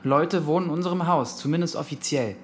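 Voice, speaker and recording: A man's voice: on the high side, a little fast, a little hiss or hum, close to an everyday voice. A young male actor playing a curious, stubborn teenage boy in naturalistic radio drama, sounding youthful and thoughtful. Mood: curious, blunt.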